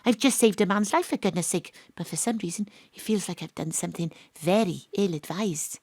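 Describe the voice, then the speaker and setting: A woman giving comic first-person narration as a detective, brisk, wry and self-assured. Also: fairly low pitch, a little mellow, dry recording.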